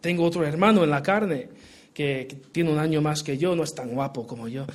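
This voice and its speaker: Guy preaching in spanish w a portuguese-american accent, kinda high voice, earnest, keeps restarting. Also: brisk. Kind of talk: sermon, Bible reading and prayer.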